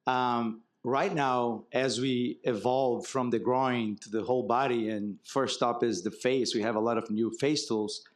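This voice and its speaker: A man from Brazil with a Brazilian accent, a marketing executive speaking as guest in an onstage fireside chat, relaxed and wry, explaining with examples. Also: medium pitch, measured pace, few pauses. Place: conference stage before an audience.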